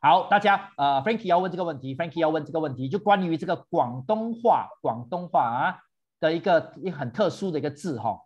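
Man, tour host, fairly high voice, animated, switches languages, cuts in often. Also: quick pace, husky, muffled recording. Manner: animated, interrupts, switches between English and Mandarin. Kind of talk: bilingual virtual tour chat for seniors.

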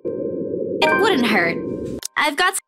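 A woman's voice: high voice, sing-song, very slow, heavily acted. A woman voicing a young woman in a horror visual novel video game. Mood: soft drama. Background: game music.

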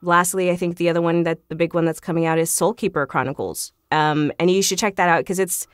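Low-pitched woman chatting enthusiastically, close dry sound. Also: fairly even intonation, fast talker, smooth, no echo. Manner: enthusiastic, effusive, giggly. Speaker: podcast guest, voice actor. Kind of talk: casual interview chat.

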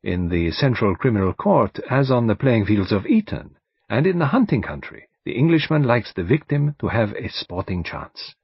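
A man voicing a courteous, methodical foreign detective in an audiobook, with polite, measured and formal phrasing. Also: on the low side, sing-song, creaky, husky, no echo, muffled recording, exaggerated voice. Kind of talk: audiobook character dialogue.

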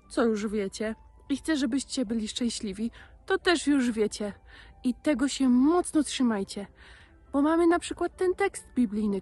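Woman, thirties, unhurried, husky, emphatic, children's Bible teacher, repeats key words. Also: on the high side, a little mellow. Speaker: presenter of a children's Bible lesson. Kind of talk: simple teaching addressed to children.

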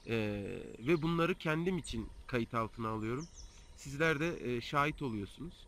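A man's voice: medium pitch, lively intonation, moderate pace. A man in his thirties talking casually to his phone camera on a mountainside, with many hesitation sounds. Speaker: fishing vlogger. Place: outdoors on a mountainside above the clouds. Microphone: phone held at arm's length. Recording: loud outdoor noise with birdsong.

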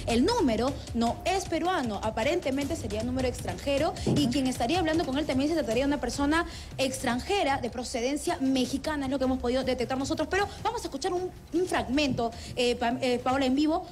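A young woman with a Peruvian accent reporting live from a city street into a handheld mic, brisk and with restarts. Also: sits high. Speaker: field reporter.

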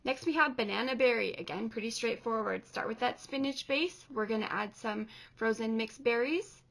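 A woman's voice: fairly high pitch, moderate pace, gravelly, a bit bright. A woman in her thirties giving plain, step-by-step instructions in a casual kitchen demonstration, filmed in a home kitchen with a camera on the counter. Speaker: co-host. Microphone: camera at counter distance.

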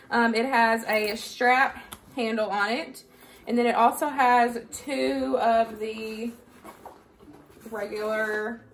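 A woman's voice: fairly high pitch, a little hiss or hum. A woman, chatting casually and informally to camera as a vlogger. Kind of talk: casual chat to camera, product review and haul. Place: living room at home.

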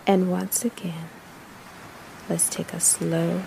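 Very slow, hushed woman guiding meditation. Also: on the low side, little pitch movement, gravelly, slight background noise, rough recording. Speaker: guided meditation narrator. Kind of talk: slow guided meditation narration.

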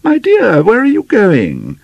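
A man's voice: sits high, huge pitch swings, a little slow, no echo, dull audio, pitched above the performer's own voice, heavily acted. A man voicing a placid, genteel middle-aged mother in an audiobook, with fussy endearments and mild surprise. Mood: simple wonder. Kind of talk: audiobook character dialogue.

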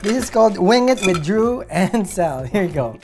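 A man's voice: high voice, very animated, normal speed, a little mellow, slight background noise. A man in his thirties hosting a casual drink-mixing demonstration, chatting in a playful, joking way. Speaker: host mixing cocktails. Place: indoor home bar room.

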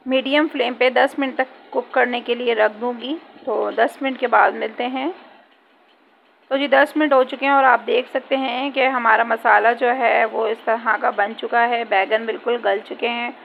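A woman's voice: fairly high pitch, steady tone, moderate pace, creaky, slight background noise. A woman hosting a home cooking tutorial, chatting step by step through a recipe in her kitchen and leaning on filler phrases as she goes. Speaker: cooking channel host. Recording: dull lo-fi home recording.

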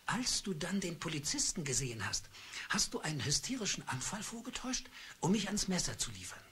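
A bitter husband in an old radio drama, laying out his accusations coldly and deliberately. His voice sounds very husky and rough. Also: fairly high pitch, very animated, pushed and bright, full character voice. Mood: coldly accusing. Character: bitter middle-aged husband turned cold avenger. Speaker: husband Charles. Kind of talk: radio play acting.

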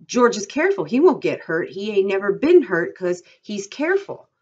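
A woman's voice: medium pitch, sing-song, normal speed, darkish tone, slightly rough, highs cut off, pitched above the performer's own voice. A woman voicing a childlike, trusting and easily agitated male ranch worker in an audiobook-style reading, with rustic dialect and insistent, pleading delivery. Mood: anxious, insistent.

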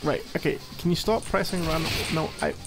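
Guy doing a needy gamer boyfriend asmr thing, gravelly voice, teasing and mock fed up, music behind him. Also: fairly high pitch, animated, brisk. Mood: playful exasperation. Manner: teasing, mock-exasperated, conversational. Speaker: ASMR roleplay performer. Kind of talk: casual intimate ASMR boyfriend roleplay.

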